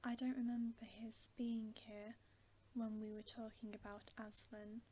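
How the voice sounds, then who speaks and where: A woman's voice: a little high, little pitch movement, measured pace, slight background noise, close to an everyday voice. A woman voicing an earnest, loyal boy, an older brother, in a whispered bedtime story reading. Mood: puzzled, rueful. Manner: hushed, whispered.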